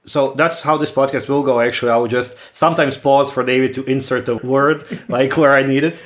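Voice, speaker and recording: A man's voice: mid-range voice, fairly even intonation, brisk. Croatian-born man, wry podcast co-host. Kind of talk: casual podcast chat.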